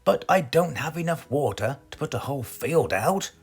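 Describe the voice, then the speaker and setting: A man's voice: fairly high pitch, lively intonation, moderate pace, vocal fry, slightly rough, faint music behind, pitched above the performer's own voice, heavily acted. A British man voicing an earnest, easily flustered farmer in a children's storybook. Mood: worried, perplexed. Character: worried, perplexed, grateful male storybook farmer.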